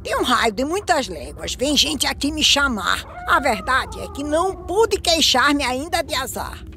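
A woman with a Brazilian accent playing a shrewd village prayer woman who profits from death, declaiming verse in an animated film. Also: high voice, a little slow, gravelly, a little hiss or hum, exaggerated voice. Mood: businesslike, proud.

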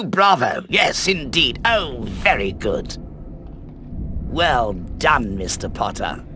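An actor playing an eccentric, eerie old British wand maker in a video game, speaking in a theatrical, courteous, drawn-out way. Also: male voice, medium pitch, background noise. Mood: pleased. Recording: game music behind the voice.

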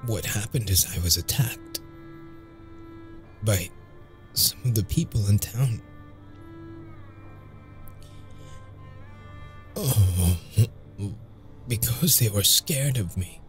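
Guy playing a hurt vampire in an asmr roleplay, really low and slow, halting, guarded and seductive. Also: animated, faint music behind. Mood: urgent, guarded. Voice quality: gravelly, low, mellow. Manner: slow, halting, intimate delivery. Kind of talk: ASMR audio roleplay acting.

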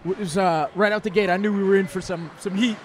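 A hoarse young man hosting a casual podcast, excitable and slangy in his talk. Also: high voice, quick pace, slight background noise. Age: young adult. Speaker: podcast host. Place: indoor event venue, at a table. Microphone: podcast microphone on boom arm. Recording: busy crowd behind the voice.

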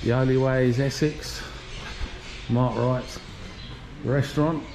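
A man giving a relaxed, chatty walking tour of an outdoor shopping plaza, talking while he walks with his camera. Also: mid-range voice, slow pace. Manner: relaxed, chatty, pointing things out. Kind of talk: casual walking tour narration. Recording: noisy outdoor street recording.

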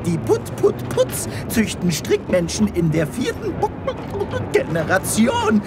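A woman's voice: mid-range voice, huge pitch swings, measured pace, music in the background. A woman voicing an eager, bossy chicken-creature official in a comic science-fiction radio play, gushing and emphatic. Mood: proud, informative.

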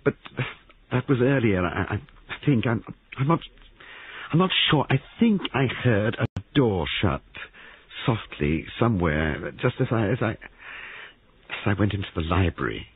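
Man voicing a flustered, frightened woman, pitched up high. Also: lively intonation, breathy edge. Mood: shaken, unsure. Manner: faint, halting, repeating words. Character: frightened, flustered young woman, near tears. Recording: narrow-band, phone-like radio sound.

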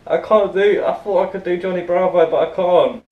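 A man's voice: sits high, moderate pace, phone-quality sound. Giggly young guy in an echoey kitchen. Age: young adult. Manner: self-mocking, giggly. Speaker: comedy vlogger. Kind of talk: casual vlog. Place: small home kitchen.

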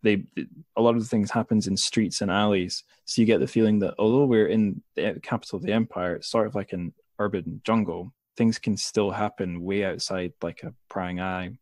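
A man hosting a podcast interview in a casual style, thinking aloud in a halting way. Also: fairly low pitch, steady tone, a little mellow, no echo, clean studio sound.

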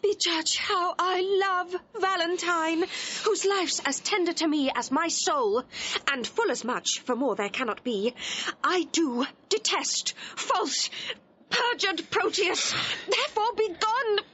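Casting: defiant, distressed gentlewoman for classical radio drama, forceful high voice, declamatory, on a slightly noisy recording. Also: takes it slowly, full character voice. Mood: scornful, indignant. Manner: declamatory, anguished. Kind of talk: classical Shakespearean audio drama acting. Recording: dull, noisy vintage audio recording.

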